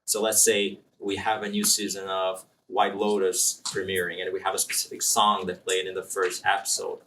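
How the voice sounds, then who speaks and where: A man speaking as an interview guest in front of a student audience, relaxed and explanatory as he thinks aloud. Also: sits low, moderate pace. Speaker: marketing executive guest. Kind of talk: casual interview answers. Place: room with a live student audience. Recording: distant room mic, faint noise.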